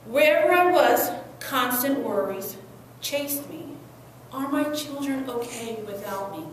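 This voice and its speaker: Young woman acting an anguished high-voiced migrant mother, echoey. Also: lively intonation. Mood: grim, fearful. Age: young adult. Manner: earnest, emotive, deliberate phrasing with dramatic pauses. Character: anguished, devoted Salvadoran migrant mother of six, resolute. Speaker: student performer. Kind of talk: dramatic stage monologue reading. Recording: very echoey room, distant mic, faint noise.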